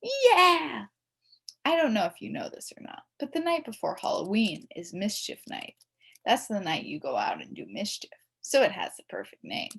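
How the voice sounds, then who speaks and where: Animated, creaky-voiced woman reading a children's story. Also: medium pitch, moderate pace. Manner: theatrical, emphatic, playing a mischievous child narrator. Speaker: storybook reader. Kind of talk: expressive scripted children's story narration.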